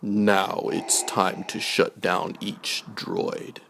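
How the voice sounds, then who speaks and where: A man's voice: low voice, wildly swooping pitch, very slow, gravelly. A man reading a children's bedtime story as a scripted narrator, on a noisy recording.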